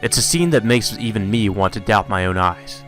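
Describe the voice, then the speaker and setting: Low-voiced man reading a story aloud over music. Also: normal speed. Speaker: let's play narrator reading a visual novel aloud. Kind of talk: dramatic read-aloud narration.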